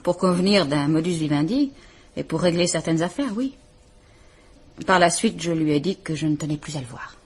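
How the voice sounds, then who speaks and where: A woman's voice: low-pitched, moderate pace, a little mellow, husky. An actress playing a frightened, dignified woman of about thirty in a vintage radio drama, composed, formal and restrained. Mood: restrained, bitter. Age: 30s. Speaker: woman reporting her husband.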